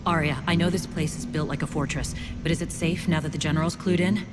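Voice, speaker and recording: A woman plays a guarded, principled female soldier in a video game. Her delivery is measured and earnest, echoing with long reverb under loud music. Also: deep voice, steady tone, lightly acted. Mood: guarded, regretful. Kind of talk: cinematic video game acting.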